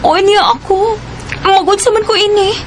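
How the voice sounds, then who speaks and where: A woman's voice: very high voice, normal speed. An actress with a Cebuano accent playing an easygoing young woman in a radio drama. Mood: worried. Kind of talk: Filipino radio drama acting. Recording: noisy radio drama with ambient background.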